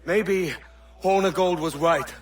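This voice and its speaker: An actor playing a brash, defiant, ambitious pirate captain in a dramatic video game cutscene. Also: male voice, high-pitched, takes it slowly. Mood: bitter, scornful.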